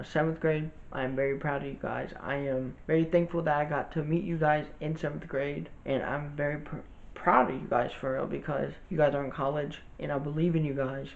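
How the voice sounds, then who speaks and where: A young man talks through a rambling storytime for his channel, his slightly high voice carrying a gravelly fry. The recording sounds a bit dull and lo-fi. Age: young adult. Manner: rambling, informal, with many restarts and fillers. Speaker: vlogger. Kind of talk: casual storytime monologue.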